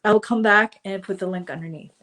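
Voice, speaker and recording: A middle-aged woman talking to her viewers in a casual live stream, her pitch sitting in the middle range. She chats loosely, with plenty of fillers and asides. Her voice is slightly hoarse from a cold. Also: quick pace, fairly bright tone. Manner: chatty, with frequent fillers and asides. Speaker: coach hosting a live stream.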